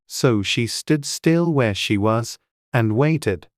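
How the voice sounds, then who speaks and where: A man's voice: mid-range voice, expressive, slow pace, dry recording, studio quality. A man reading scripted storybook narration as an audiobook narrator. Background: faint music.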